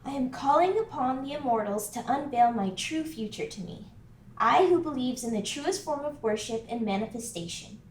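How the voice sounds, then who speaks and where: A woman voicing an energetic, indecisive fourteen-year-old witch at an animation table read, earnest and dramatic. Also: high voice, moderate pace. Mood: solemn, pleading. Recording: roomy, distant mic, background noise.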